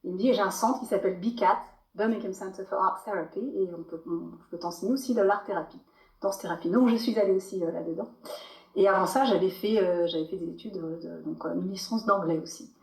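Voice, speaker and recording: A woman with a French accent, a podcast guest telling her story in a loose, animated way with many fillers and restarts. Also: mid-range voice, fast talker. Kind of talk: casual podcast interview. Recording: echoey room.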